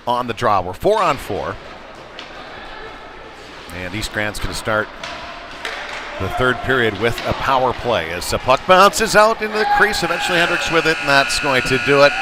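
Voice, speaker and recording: A man with an American accent doing live play-by-play commentary of a youth hockey game in a conversational, folksy way. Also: a little high. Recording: loud rink noise behind the voice. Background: noisy indoor ice rink with bangs.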